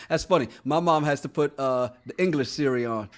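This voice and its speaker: A man's voice: fairly high pitch, moderate pace. A man co-hosting a casual podcast, chatting loosely, reading viewer comments aloud, laughing often and repeating words. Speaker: podcast co-host. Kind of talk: casual chat reading live chat.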